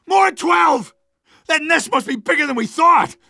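A man playing an excitable, blunt, wisecracking resistance fighter in a video game. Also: very high-pitched, unhurried, gravelly, no echo, raised voice, clean studio sound, full character voice. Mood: frantic shouting. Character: jumpy, wisecracking male resistance fighter defending a tunnel. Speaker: video game minor character.

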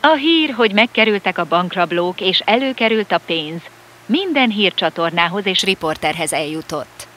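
A woman's voice: medium pitch, animated, fairly bright tone, soft background music. A woman narrating a scripted children's cartoon story.

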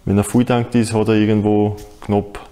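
Mellow Austrian man presenting. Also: on the low side, little pitch movement, normal speed. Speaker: motorsport presenter. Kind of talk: explanatory presenting to camera.

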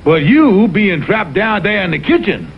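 A man's voice: high-pitched, big pitch swings, measured pace, gravelly, a little hiss or hum, full character voice. A man playing a blustering, wheedling fox con artist with a Southern US accent in an old TV cartoon. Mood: persuasive. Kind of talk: 1960s TV cartoon voice acting. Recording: dull vintage TV audio with music.

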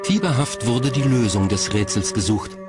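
A man's voice: medium pitch, expressive, normal speed. A man reading scripted documentary narration as the narrator. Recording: music bed behind narration. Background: background music.

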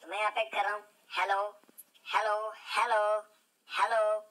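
A man as a pitch-shifted, childlike app voice. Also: level tone, measured pace, sharp tone, poor audio. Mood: flat demonstration. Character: child voice made by a voice-changer app. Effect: pitch-shifted voice changer.

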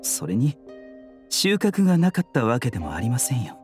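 An actor playing a calm, courteous physician in an anime game, speaking politely and reassuringly over music. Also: male voice, mid-range voice, normal speed. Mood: unhurried, rueful. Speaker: physician character. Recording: music behind the voice.

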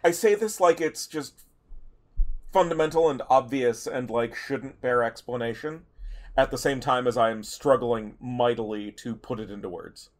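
A man with a medium-pitched voice improvises dialogue as a player in a tabletop game. His wording is careful and formal, with some hesitation. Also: moderate pace.

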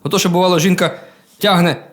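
A man telling a humorous folk tale in a colloquial, expressive, folksy manner. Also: sits high, fast. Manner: colloquial, expressive, with chuckles and exclamations. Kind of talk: folksy humorous oral storytelling. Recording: music behind the voice.

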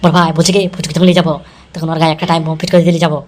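A man's voice: fairly high pitch, level tone, very fast, a little hiss or hum, natural voice. A man playing a boastful, scheming, eager henchman in an amateur comedy cartoon, with a cocky rattling delivery.

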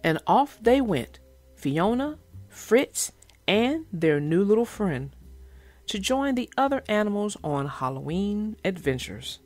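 A woman's voice: mid-range voice, very animated, slow, darkish tone, dry recording. A woman reading aloud and chatting expressively as a children's book narrator and host. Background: music.

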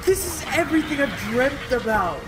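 A man's voice: extremely high pitch, very animated, normal speed, music in the background. A man in his thirties hosting a casual live reaction, rambling and exclaiming in slang, recorded in a room at home. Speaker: reaction video host. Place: home room with kitchen, indoors.

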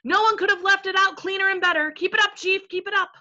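A woman's voice: a little high, fairly quick, sharp tone, muffled recording. A woman voicing eager, fawning invisible followers who gush and repeat their agreement in a storybook. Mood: enthusiastic. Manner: gushing, repetitive agreement.

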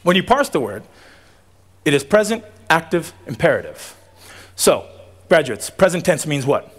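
A man's voice: on the high side, big pitch swings, moderate pace. Man, thirties, born in Tonga, tenor voice, joking commencement sermon, audience call-and-response, outdoor tent stage. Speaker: commencement speaker.

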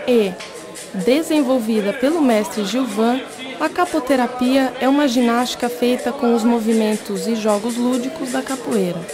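A woman reading scripted documentary narration in an even, informative way. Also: on the high side, moderate pace. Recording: voice-over over loud music and crowd.

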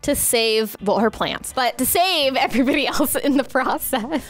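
Young woman, slightly high voice, casual reaction chat, informal co-host, headset mic. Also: moderate pace. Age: young adult. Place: small indoor studio set.

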